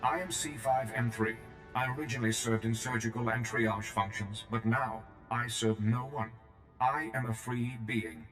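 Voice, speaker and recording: A man's voice: on the low side, fairly even intonation, a bit bright, reverberant, soft background music, close to an everyday voice. A man voicing a blunt, free-willed medical droid in a video game, speaking formally and plainly. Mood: composed. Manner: formal, plain-spoken, measured. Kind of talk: video game cutscene acting.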